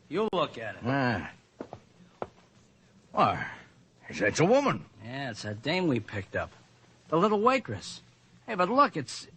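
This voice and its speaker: Gruff 1940s radio-drama detectives talk in tough slang at a crime scene. Their voices swing widely in pitch and carry a bright, slightly husky tone, heard on an old radio broadcast. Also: male voice, exaggerated voice. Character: two gruff male police detectives.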